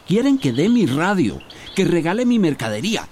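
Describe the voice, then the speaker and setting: An actor playing a stingy, fussy merchant who hems and haws in a radio soap. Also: male voice, sits high, sing-song, normal speed, darkish tone. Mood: indignant. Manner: hesitant, hemming, fussy. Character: grudging, stingy male merchant. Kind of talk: radio soap opera acting. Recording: faint outdoor noise with birdsong.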